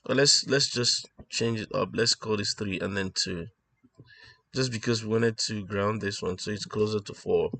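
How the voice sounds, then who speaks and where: A man giving an informal technical lesson as he draws, explaining step by step in a halting way and thinking aloud. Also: lowish voice, steady tone, creaky, fairly bright tone, dry recording. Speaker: instructor presenting an electronics tutorial. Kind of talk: informal technical lesson, talking while drawing.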